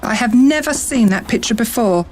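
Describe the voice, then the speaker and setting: A woman's voice: on the high side, animated, moderate pace, a little mellow, natural voice. A woman playing an indignant, defensive murder suspect in an amateur radio play. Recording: noticeable background noise.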